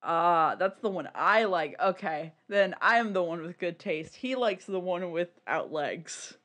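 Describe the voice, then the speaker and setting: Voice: medium pitch, expressive, measured pace, fairly bright tone. A podcast co-host chatting casually in a rambling, candid, giggly way.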